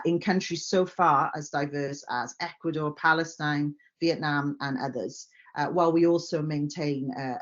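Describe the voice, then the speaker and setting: A woman's voice: low voice, lo-fi sound. A Welsh woman giving a conference presentation, friendly and earnest, with frequent "uh" fillers. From Wales. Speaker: company director.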